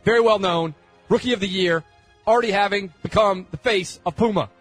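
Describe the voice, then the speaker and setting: A man acts out a pushy female legal-news host in a parody reading. The voice is high and husky, racing through clipped headlines and insistent questions, very dry and close. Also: brisk, dry recording, faint music behind. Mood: urgent, dramatic. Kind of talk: parody dramatic reading of cable news show.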